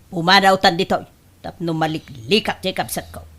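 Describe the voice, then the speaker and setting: A woman's voice: lowish voice, moderate pace, fairly bright tone, natural voice. A woman playing a bossy, chatty, house-proud older mother in an Ilocano-accented radio comedy drama. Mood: scolding, insistent.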